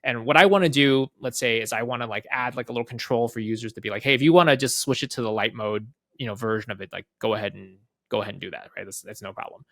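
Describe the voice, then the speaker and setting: A man casually walking through a software demo off the cuff, conversational, often checking in with tag questions and voicing little exchanges. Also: mid-range voice, fast talker, no room sound. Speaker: guest product leader giving a software demo. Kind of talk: casual off-the-cuff product walkthrough.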